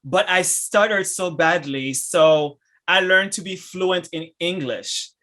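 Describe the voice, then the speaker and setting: A man with a Haitian accent hosting a casual podcast chat, enthusiastic and laughing often, with halting restarts. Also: high voice, sharp tone. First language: French and Haitian Creole. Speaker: podcast host.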